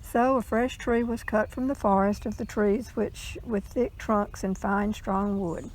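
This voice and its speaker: A woman reading a legend aloud in a measured storytelling style, with the odd stumble. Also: medium pitch, darkish tone, a little hiss or hum, low-quality recording.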